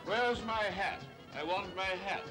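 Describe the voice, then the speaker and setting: High-pitched, petulant English drunk. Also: male voice, unhurried. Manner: petulant, stubborn, repetitive. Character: belligerent, muddled, tipsy pub drunk. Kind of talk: 1940s British film acting. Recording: old 1940s film soundtrack with music.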